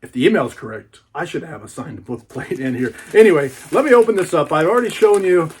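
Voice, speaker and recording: Folksy guy chatting thru an unboxing, voice a bit on the high side, repeats stuff for emphasis. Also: animated, a little fast, a little hiss or hum. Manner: folksy, colloquial, with emphatic repetition. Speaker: book reviewer and YouTube host. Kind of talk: casual chatty unboxing monologue.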